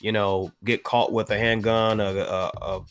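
An American man giving his opinion on a podcast panel in a measured, halting way with formal wording. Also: fairly low pitch, little pitch movement, fairly bright tone, smooth, soft background music. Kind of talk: panel discussion, offering opinion. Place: indoor room on a video call.

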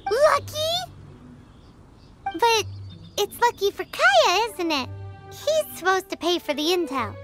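A tiny floating girl companion from a fantasy game, with a very high, squeaky voice that leaps all over. She chirps along at an easy pace and refers to herself by name. Music plays clearly behind her. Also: sing-song, takes it slowly, pauses often, heavily acted. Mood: teasing. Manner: chirpy, talks about herself in third person. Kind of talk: video game dialogue.

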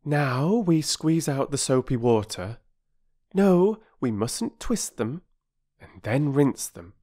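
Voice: mid-range voice, expressive, takes it slowly, mellow, voice pushed up. A narrator voicing an eager, chirpy young girl in a children's storybook.

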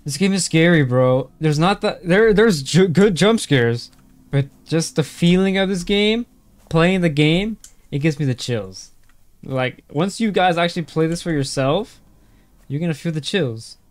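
A man's voice: fairly high pitch, animated, a little slow, dry recording. A young man streaming a horror game through a headset, reacting with jumpy, nervous exclamations and calling his viewers bro, his voice a little strained. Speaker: gaming streamer. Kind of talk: horror game playthrough commentary. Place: small room indoors.

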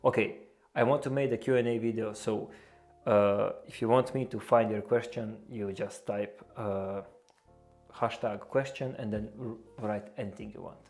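A man's voice: fairly low pitch, steady tone, normal speed, a little mellow, soft background music. A young man with a Bosnian accent hosting a casual reaction video, speaking hesitantly with many fillers and restarts. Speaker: reaction channel host. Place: small indoor room with a lamp.

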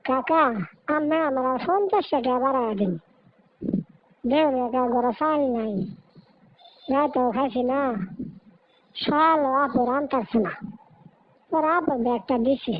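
A woman voicing a high-pitched, hungry village boy. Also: a little slow, long pauses. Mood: pleading. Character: poor, hungry young brother, earnest and caring. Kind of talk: Bengali moral story cartoon dialogue. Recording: dull, noisy lo-fi recording.

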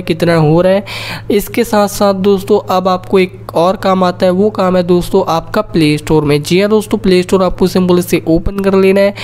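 Casting: Indian-accented tutorial presenter, medium pitch, instructional step-by-step delivery for a phone how-to. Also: a little mellow. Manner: repetitive verbal address to viewers as friends. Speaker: tech tutorial host. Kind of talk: step-by-step screen-recording tutorial narration.

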